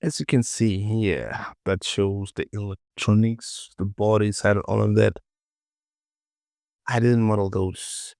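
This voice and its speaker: Guy doing a chill screen tutorial, real slow, dry close mic, kinda muttering to himself and repeating stuff. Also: on the low side, vocal fry, darkish tone, no room sound. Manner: informal, muttering to himself while working, repeats words. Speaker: 3D modeling tutorial presenter. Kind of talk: casual screen-recorded software tutorial.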